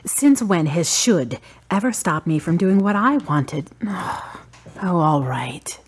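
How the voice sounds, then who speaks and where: A husky, low-voiced, wry grieving daughter. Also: female voice, lively intonation, slow pace, exaggerated voice. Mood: dry, guarded. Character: Charity, grieving daughter, wry, stubborn and guarded. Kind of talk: radio drama acting.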